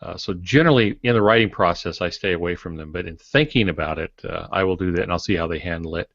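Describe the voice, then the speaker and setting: Low-voiced man in an echoey room. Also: fast, creaky, a bit bright. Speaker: podcast panelist, fiction writer. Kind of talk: casual podcast discussion. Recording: dull audio in echoey room.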